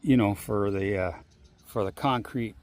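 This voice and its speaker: A man vlogging casually while walking outdoors, rambling and swearing freely, with long pauses. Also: on the low side, a little mellow. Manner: rambling, profane, drawn-out pauses. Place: outdoors on a walk. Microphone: handheld phone.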